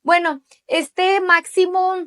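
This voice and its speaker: A woman's voice: very high voice, little pitch movement, slow pace, fairly bright tone. A woman in her late thirties with a Mexican accent gives explanatory interview answers, emphatic and often checking in with short tag questions. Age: late 30s. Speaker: interviewee, judicial candidate.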